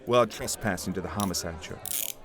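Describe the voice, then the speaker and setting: Actor doing a gruff cop, lowish voice, curt and threatening, noir radio play. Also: male voice, moderate pace, a crowd in the background, lightly acted. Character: gruff, bullying male police officer, impatient. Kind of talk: noir audio drama acting.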